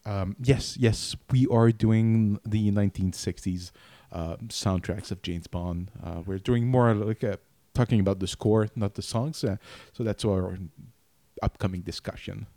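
A man's voice: on the low side, fairly even intonation, unhurried, mellow. A man co-hosting a podcast in casual chat, laid-back and often restarting his sentences. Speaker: podcast co-host.

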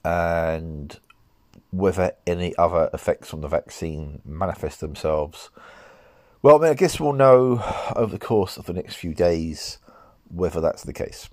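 A middle-aged man hosting a solo podcast, talking in a measured, rambling way and often correcting himself, his voice nasal from a cold. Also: deep voice, a little mellow, dry recording, clean studio sound. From United Kingdom. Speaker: podcast host.

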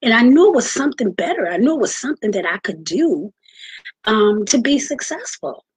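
A woman in her forties hosting her own podcast, telling her personal story earnestly with many pauses and fillers. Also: on the high side, very animated, husky, no room sound, low-quality recording. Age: 40s. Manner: earnest, halting with many ums. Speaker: podcast host. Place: home, just moved in.

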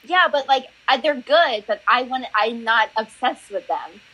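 A woman's voice: on the high side, measured pace, fairly bright tone, a little hiss or hum, rough recording. A young woman chatting casually with friends, giggling as she reads quiz options aloud. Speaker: quiz reader.

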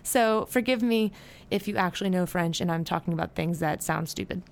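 A woman's voice: on the low side, brisk, gravelly. A woman in her twenties from the United States, hosting a podcast and chatting casually in a rambling way with fillers and self-corrections. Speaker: podcast host. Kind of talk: casual solo podcast chat.

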